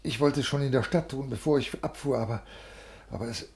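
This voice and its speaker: An actor playing a stiff, agitated civil servant in a 1950s radio play, speaking formally and haltingly in a creaky voice. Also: male voice, medium pitch, a little mellow, natural voice. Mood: weak, urgent. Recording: 1950s radio studio recording.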